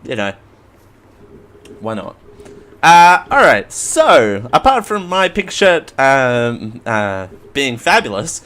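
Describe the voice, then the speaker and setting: Male, hoarse, solo live-show host, rambling, self-mocking, mid-pitched. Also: very animated, takes it slowly, a bit bright. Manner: rambling, self-mocking, stumbles over names. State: hoarse after flu. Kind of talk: casual solo live show, reading news. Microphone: headphones at a desk mic.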